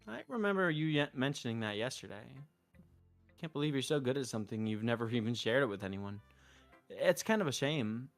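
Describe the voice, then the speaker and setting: A man's voice: medium pitch, big pitch swings, a little fast, faint music behind. A man streaming a game, reading a visual novel's narration and dialogue aloud into a close mic.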